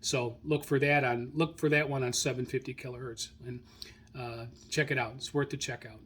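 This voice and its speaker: A man with a medium-pitched voice talks informally as the host of a hobby show. He works through a list without a script, pausing to find his place. His delivery is halting, and he often backs up to correct himself. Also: flat delivery, a bit bright. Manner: halting, self-correcting, searching through notes. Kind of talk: informal spoken log rundown, unscripted.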